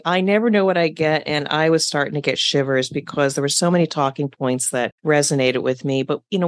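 A woman's voice: sits low, dry recording. A middle-aged woman talking conversationally as the host of an interview podcast. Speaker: podcast host, former corporate executive.

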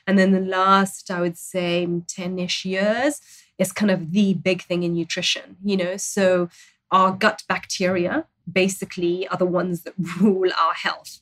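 A woman's voice: lowish voice, level tone, measured pace, fairly bright tone. Earnest woman explaining nutrition. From Zambia. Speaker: dietitian guest. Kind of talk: expert explaining in interview.

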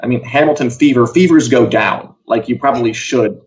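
A man's voice: mid-range voice, brisk, darkish tone. A man co-hosting a casual entertainment live show, talking in a wry, emphatic way. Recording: dull, lo-fi audio.

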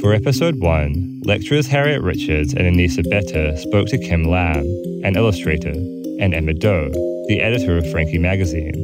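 Aussie guy hosting a podcast, scripted read, low voice, loud music behind. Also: lively intonation, moderate pace. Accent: Australian. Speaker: podcast host.

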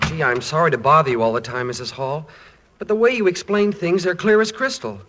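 Guy acting bashful young student in old 1940s radio comedy, kinda high boyish voice, polite and eager. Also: brisk, fairly bright tone, lightly acted. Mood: bashful, apologetic. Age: young adult. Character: earnest, bashful young war-veteran college student.

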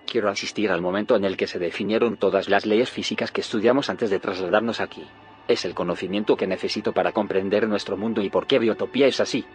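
An actor playing a grave, secretive scientist in an audio drama, solemn and explanatory. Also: male voice, lowish voice, fairly even intonation, fast, faint music behind, close to an everyday voice. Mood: resolute. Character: grave, secretive male scientist haunted by failed timelines. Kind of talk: audio fiction drama acting.

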